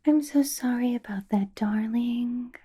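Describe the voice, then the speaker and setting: A woman playing a doting yet menacing vampire boss in a breathy, whispered ASMR roleplay. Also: a little high, dark tone. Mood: affectionate, silky. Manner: slow, breathy whisper with silky, drawn-out phrasing.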